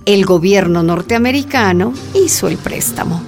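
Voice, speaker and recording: An actress as a solemn female storyteller narrates a historical radio drama. Her mid-pitched voice is set over clearly audible music. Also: animated, normal speed, a little mellow, natural voice. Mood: measured, dramatic.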